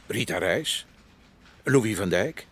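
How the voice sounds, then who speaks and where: An actor playing a chatty, dreamy, nostalgic jazz lover in a radio comedy, rambling along. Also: male voice, on the low side, expressive, slow pace, slightly rough, no room sound, heavily acted. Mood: dreamy nostalgia. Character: nostalgic, chatty male jazz-loving coffee bringer.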